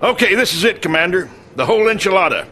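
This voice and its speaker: An actor playing an older American army general in a game cutscene, gruff and folksy with emphatic delivery. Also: male voice, sits high, sing-song, quick pace, bright, forceful tone, heavily acted. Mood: hearty, pleased. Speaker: military general.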